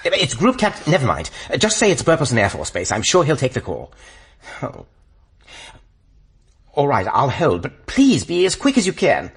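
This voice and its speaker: A man acting a polite but flustered British RAF officer in a radio play, with an upper-class English accent, breathy voice and exasperated stammer. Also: medium pitch, wildly swooping pitch, moderate pace, long pauses, full character voice. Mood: flustered urgency.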